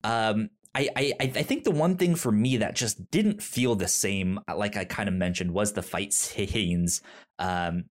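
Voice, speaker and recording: A man co-hosting a casual film discussion, thinking aloud haltingly and often repeating words as he works toward his point. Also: fairly low pitch. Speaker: podcast co-host.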